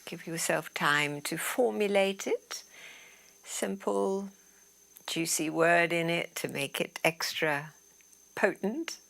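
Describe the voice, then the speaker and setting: Female meditation guide, lowish and very slow, soothing delivery with soft trailing phrase ends. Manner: soothing, unhurried, trailing into breathy softness. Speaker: yoga nidra teacher. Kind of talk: guided relaxation meditation instruction.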